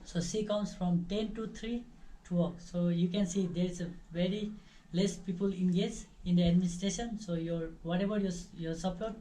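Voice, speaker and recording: A woman in her early twenties from Nepal with a Nepali accent, explaining things to visitors informally in halting, non-native English. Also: fairly low pitch, dark tone. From Nepal, near the Indian border. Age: early 20s. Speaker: orphanage staff member. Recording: noisy amateur video sound.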